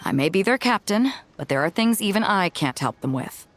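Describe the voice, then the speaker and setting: A woman's voice: mid-range voice, animated, a little fast, slight background noise, natural voice. A woman voicing a bold, easygoing sea captain in a video game, with a breezy, confident, conversational manner. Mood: friendly, persuasive. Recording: music bed under the voice.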